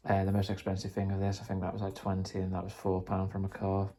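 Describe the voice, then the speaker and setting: A man's voice: deep voice, monotone, fast talker, dark tone. A man explaining things casually and tentatively in an unscripted, rambling vlog. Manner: tentative, self-deprecating, rambling. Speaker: vlogger, hobbyist trying out radio gear.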